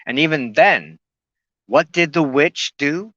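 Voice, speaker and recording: A man giving an earnest, unscripted spoken teaching as a broadcast host, reflective and halting, often pausing in the middle of a sentence. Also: a little high, animated, a bit bright, dry recording, dull audio.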